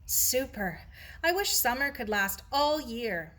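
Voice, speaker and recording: An eager, teasing schoolgirl from a storybook , acted out by a woman reader. She is chirpy and excitable, with a bright voice that swings high and lively. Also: slow, full character voice.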